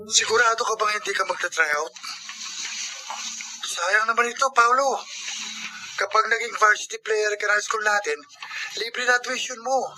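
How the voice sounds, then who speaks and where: Eager young Filipino friend, high voice. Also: male voice, steady tone, moderate pace, pauses often, sharp tone, slight background noise, poor audio. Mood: urging. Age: young adult. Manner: urging, earnest. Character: earnest, encouraging young Filipino student friend. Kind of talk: Filipino radio drama acting.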